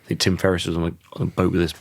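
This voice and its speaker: Casting: croaky man in his thirties, low voice, thoughtful and halting, dry close-miked sound. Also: monotone, no room sound. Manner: thoughtful, restarts sentences often. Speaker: podcast guest. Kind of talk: reflective conversational interview.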